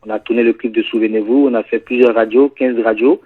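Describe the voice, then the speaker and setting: A singer from Ivory Coast with an Ivorian French accent, chatting in a radio studio interview and often answering "yes, sir". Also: male voice, mid-range voice, fast talker, phone-quality sound. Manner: relaxed, peppers talk with "yes, sir". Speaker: guest singer.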